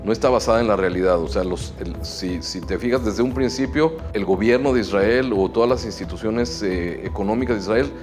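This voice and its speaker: A middle-aged man from Mexico with a Mexican Spanish accent, answering interview questions in a measured way with frequent hesitations. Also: fairly low pitch, darkish tone, faint music behind. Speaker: chamber of commerce president.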